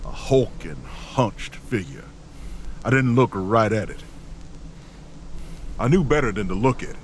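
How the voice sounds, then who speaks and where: A gruff, weary survivor recounts his ordeal in a low voice. He is stoic and colloquial, an audio drama narrator over faint noise. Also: animated, measured pace. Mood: stoic, grim.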